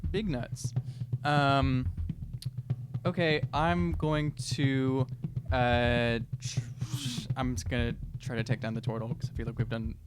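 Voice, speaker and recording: A man's voice: mid-range voice, little pitch movement, takes it slowly. A man who is a player in a tabletop game, chatting casually as the game goes on. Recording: noisy room recording with clicks.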